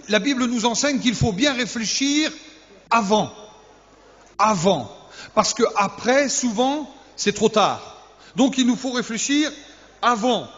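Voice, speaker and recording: A man's voice: high voice, a bit bright. A male youth pastor preaching to young people, teasing and humorous, firing off rhetorical questions and repeating key words for emphasis. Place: church hall. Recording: echoey hall, dull lo-fi sound.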